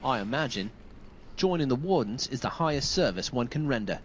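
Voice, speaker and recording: An actor playing an earnest, courteous young knight in a fantasy video game, speaking formally and sincerely. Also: male voice, medium pitch, expressive, brisk, a little hiss or hum, natural voice. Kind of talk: video game dialogue acting.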